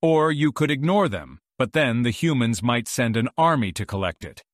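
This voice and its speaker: A man's voice: medium pitch, very animated, normal speed, dry recording, close to an everyday voice. Sly archangel, acted by a man, very dry recording. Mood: slyly respectful. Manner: mock-deferential. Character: sly, irreverent male archangel, smoothly obsequious. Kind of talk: audiobook character acting.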